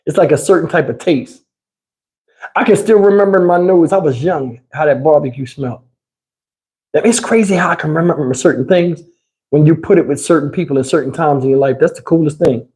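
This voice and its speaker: High-pitched man chatting casually on livestream. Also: a little fast, darkish tone. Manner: slangy, profane, rambling. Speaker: livestream host. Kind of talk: casual livestream chat with viewers.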